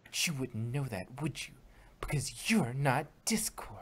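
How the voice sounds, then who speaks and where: A man's voice: medium pitch, animated, a little slow, a bit bright, breathy edge. A man reading the lines of a determined young female unicorn heroine, earnest and defiant. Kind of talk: fan reading of a story with voices.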